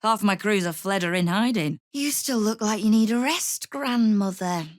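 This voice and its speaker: A woman's voice: medium pitch, animated, normal speed, no echo, clean studio sound, lightly acted. An actress playing a tough, sardonic woman who runs a crime guild in a video game, speaking in a wry, commanding, menacing way. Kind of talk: dramatic video game character dialogue.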